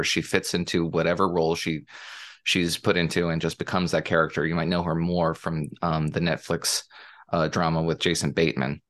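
A man chatting casually about television shows, pausing often with fillers and restarts. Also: deep voice, a bit bright. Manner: hesitant, many fillers and restarts. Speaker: panelist. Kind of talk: casual podcast chat about TV.

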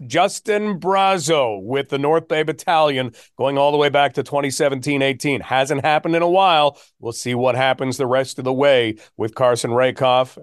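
A man's voice: mid-range voice, smooth, dry recording, studio quality. A man with a Canadian accent hosting a sports talk podcast.

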